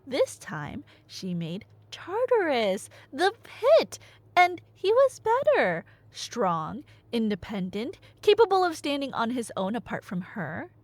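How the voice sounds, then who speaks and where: A woman plays a nerdy girlfriend in an ASMR roleplay, rambling through an old myth with wry, teasing asides. Her voice sits on the high side and moves up and down a lot. She takes her time, speaking slowly and conversationally. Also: wildly swooping pitch, no echo, full character voice. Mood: engrossed storytelling. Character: nerdy rambling girlfriend playfully retelling Greek myths. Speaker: ASMR audio roleplay performer.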